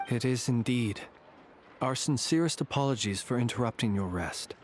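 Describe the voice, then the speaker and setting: An actor playing a courteous, composed male investigator in a video game, speaking in a measured, formal way. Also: mid-range voice, expressive, slight background noise, lightly acted. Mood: polite, grave.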